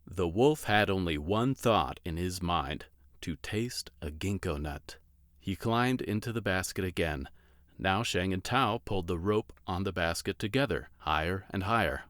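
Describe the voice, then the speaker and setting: A man's voice: deep voice, expressive, measured pace, fairly bright tone, no echo, studio quality. A man reading a children's story aloud as a scripted narration.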